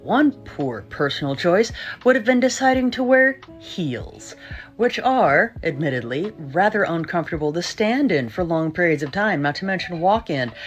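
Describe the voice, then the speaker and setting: A woman with a fairly low voice, lecturing in a stern, scolding tone. Soft music plays beneath her words. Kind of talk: scripted commentary video.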